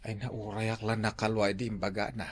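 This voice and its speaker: A man's voice: lowish voice, level tone, moderate pace, breathy edge. A man playing an easygoing older father, hesitant and conversational, in an Ilocano radio drama. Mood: coaxing.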